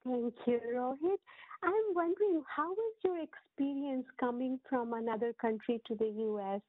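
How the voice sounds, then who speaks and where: A woman's voice: sits high, moderate pace, dry recording. Polite woman host on a tinny line. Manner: polite, formal phrasing. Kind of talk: interview hosting. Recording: dry, phone-like audio.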